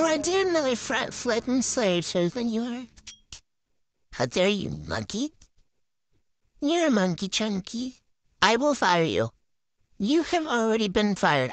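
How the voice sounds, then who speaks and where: A woman's voice: mid-range voice, no room sound. A woman voicing a bratty, ditzy female gymnast in a silly game roleplay, with a boastful valley-girl drawl, on a dry close mic. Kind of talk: improvised game roleplay voiceover.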